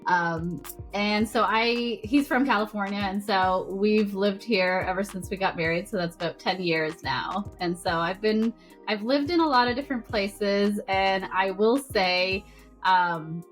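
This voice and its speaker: A woman from Canada speaking as a podcast guest, her pitch in a middle range. She explains things thoughtfully and earnestly, at a steady pace. Faint music sits under her voice. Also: a little slow. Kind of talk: casual interview chat.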